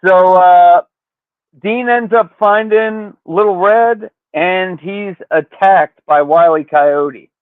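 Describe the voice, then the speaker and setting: A man's voice: high voice, slow, smooth, dry recording. A man hosting a podcast, casually recapping a story in slangy, profane language, with thin phone-like sound. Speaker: podcast host.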